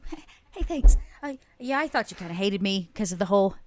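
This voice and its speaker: A woman's voice: mid-range voice, expressive, brisk, no echo. A woman playing a tabletop game in casual roleplay, with a hesitant, stop-start delivery.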